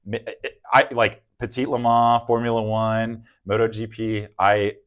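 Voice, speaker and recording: A man's voice: lowish voice, little pitch movement, a little slow, dull audio. A man from the American South, a real estate agent as guest, talking earnestly and reflectively with frequent ums and restarts. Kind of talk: casual interview conversation.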